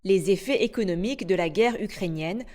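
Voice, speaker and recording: A woman anchoring a news bulletin, reading in a steady, formal way. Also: medium pitch, fairly quick. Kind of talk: scripted news narration.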